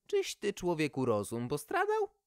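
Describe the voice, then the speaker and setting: Storybook hostess: motherly, unflustered, brisk and lilting , performed by a man. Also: fairly high pitch, huge pitch swings, clean tone, no echo, clean studio sound, pitched above the performer's own voice, heavily acted. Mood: scolding. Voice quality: melodious.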